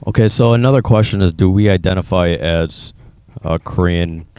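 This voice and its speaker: Deep, clear American man, informal. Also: moderate pace, dark tone. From Minnesota, United States. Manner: informal, loosely phrased. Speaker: interviewer sharing his own experience. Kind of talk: casual conversational interview.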